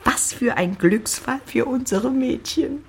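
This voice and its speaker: An actress playing an excitable, nagging middle-aged wife and mother in a period radio drama, dramatic, complaining and insistent. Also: fairly high pitch, takes it slowly, creaky, slight background noise. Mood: eager, exasperated.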